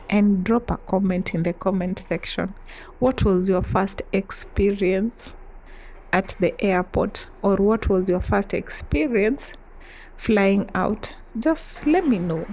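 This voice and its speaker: A young woman from East Africa tells a personal story to her vlog audience in an unhurried, easygoing way. Her voice carries a gravelly edge, and soft laughs keep slipping between her sentences. A faint hum of noise sits under the recording. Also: mid-range voice. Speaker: vlogger.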